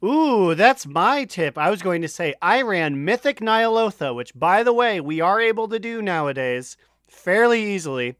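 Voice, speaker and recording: A man's voice: high voice, lively intonation, normal speed, a bit bright, no room sound, clean studio sound. A man co-hosting a casual gaming podcast, wryly griping with the odd swear word. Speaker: podcast co-host. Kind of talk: casual chat about online gaming.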